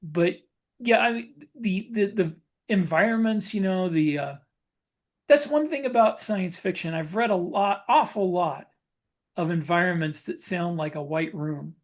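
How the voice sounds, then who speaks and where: A man chatting casually as a podcast co-host, thinking aloud in a halting way with repeated phrases. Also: high voice. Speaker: podcast co-host and science fiction author.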